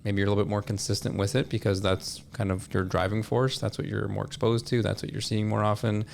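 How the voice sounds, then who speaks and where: A man explaining his points in a measured, conversational way as a podcast guest. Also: on the low side, flat delivery, vocal fry, darkish tone, slight background noise. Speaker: podcast guest, supplement industry representative.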